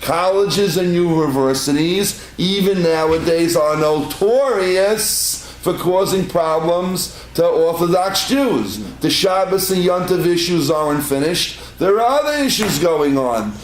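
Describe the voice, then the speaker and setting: A rabbi teaching a congregation in a chatty storytelling way, piling up repeated words for emphasis. His voice sits a little high for a man. The room is echoey, with a faint hum underneath. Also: lively intonation, a little slow, hardly any pauses. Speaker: rabbi giving a class. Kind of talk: informal Torah class to a congregation. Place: synagogue room.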